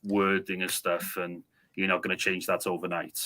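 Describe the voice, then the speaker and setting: A man from North Wales with a North Welsh accent, a native Welsh speaker, explaining history at a measured pace as a podcast guest. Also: low voice, completely flat delivery, bright, forceful tone. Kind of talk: casual podcast conversation.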